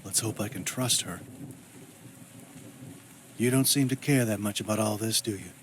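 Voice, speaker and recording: A man's voice: fairly low pitch, normal speed, a little hiss or hum, lightly acted. An actor playing a troubled, guarded man in a video game, speaking tersely and broodingly. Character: troubled, guarded man searching for his missing sister. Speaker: main character of a video game. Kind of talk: scripted game voice acting, brooding monologue and dialogue.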